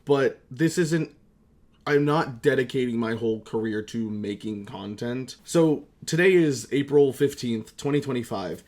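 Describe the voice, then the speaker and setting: A man's voice: medium pitch, a little slow, a little mellow. A young man chatting casually as a YouTuber, rambling and self-mocking, with some swearing. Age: young adult. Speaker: YouTuber and amateur voice actor. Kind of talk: casual comedic vlog chat.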